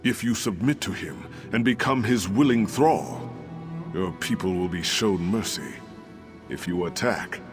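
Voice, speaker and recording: Old warrior king ghost from a fantasy game, low grave voice, talks real slow and solemn, music playing. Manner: grave, measured, oratorical. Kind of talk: scripted fantasy game acting.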